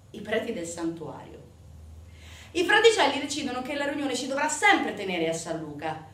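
A woman performing a theatrical monologue, telling a story conversationally to an audience with rhetorical questions and dramatic pauses. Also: a little high. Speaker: stage actress performing a monologue. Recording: roomy, distant stage recording with faint noise.

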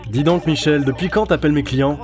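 A man's voice: on the high side, brisk, music in the background, close to an everyday voice. A man playing a disillusioned but principled insurance adviser and gamer, world-weary and plain-spoken, in an audio drama. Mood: exasperated. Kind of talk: scripted audio-drama acting and narration.

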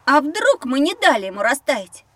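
A woman's voice: high voice, sing-song, normal speed, fairly bright tone, dry recording. A woman voicing a curious, talkative young boy, eager and inquisitive, in a children's educational audio drama. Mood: wondering, worried.

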